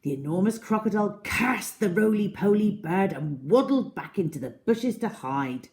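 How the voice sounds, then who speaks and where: A woman telling a children's story in an expressive, deliberate way with dramatic emphasis. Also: mid-range voice.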